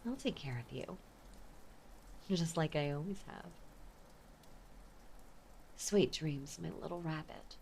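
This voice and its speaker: A woman playing an obsessive, possessive mafia heiress ex-lover in an intimate audio drama, speaking with menacing sweetness and often slipping toward a breathy whisper. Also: deep voice, takes it slowly, long pauses, darkish tone, slight background noise, exaggerated voice. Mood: falsely tender. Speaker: voice actor. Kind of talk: intimate dramatic roleplay monologue.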